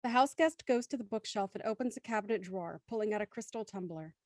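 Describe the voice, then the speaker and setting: A woman's voice: medium pitch, fast, no room sound, clean studio sound, natural voice. A woman narrating a scripted audio drama as its stage-direction narrator.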